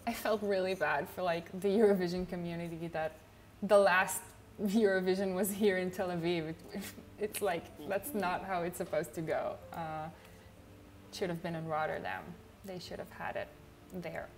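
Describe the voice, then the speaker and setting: A young woman from Israel with an Israeli accent, chatting casually on a livestream in a chill, low-energy way with lots of fillers. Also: steady tone, moderate pace, noisy background. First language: not English. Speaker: streamer.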